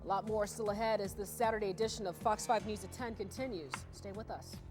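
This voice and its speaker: A woman's voice: medium pitch, fairly even intonation, fast talker, over music. An American woman working as a TV news anchor, reading scripted news narration. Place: TV news studio.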